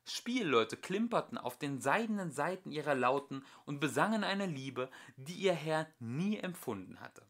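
A young adult man reading a fairy tale aloud as an amateur audiobook narrator, with expressive emphasis. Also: fairly high pitch, normal speed, hardly any pauses.

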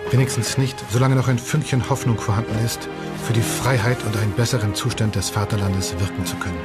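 A man's voice: fairly low pitch, fairly even intonation, a little fast, close to an everyday voice. An actor playing an earnest 19th-century German patriot writing to his wife in a historical documentary dramatization. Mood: earnest, hopeful. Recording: loud music under the voice.

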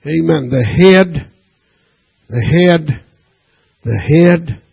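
Male preacher in a church, slow and deliberate, gravelly husky voice, keeps tossing in amen. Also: fairly high pitch, lively intonation, darkish tone. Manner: preaching with frequent "amen" and call-and-response questions; reads scripture aloud in King James wording. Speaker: pastor. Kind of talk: sermon. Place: church sanctuary.

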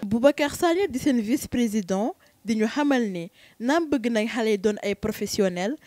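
Mellow Senegalese woman narrating news. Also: fairly high pitch, expressive, normal speed. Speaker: reporter, narrator.

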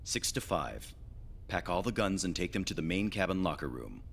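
An actor playing a stern, skeptical young male space cadet in an animated film dub, with an authoritative manner. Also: low-pitched, moderate pace, gravelly, exaggerated voice. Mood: commanding.

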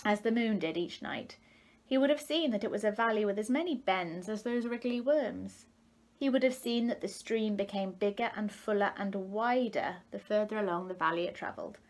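A woman's voice: medium pitch, moderate pace. A woman, narrating a children's story expressively. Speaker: storybook reader. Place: living room, indoors.

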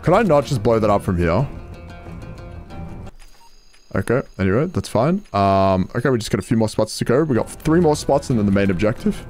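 Young male streamer, jokey and profane, lively voice over game music. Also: lowish voice, a little fast, pauses often. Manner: joking, chatty, swears freely. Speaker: gameplay streamer. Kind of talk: casual gameplay commentary.

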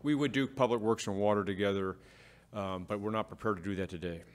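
Measured man at a council meeting. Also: fairly low pitch, steady tone. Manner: measured, with frequent fillers and restarts. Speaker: city staff presenting to council. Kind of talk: public meeting discussion. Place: council chamber.

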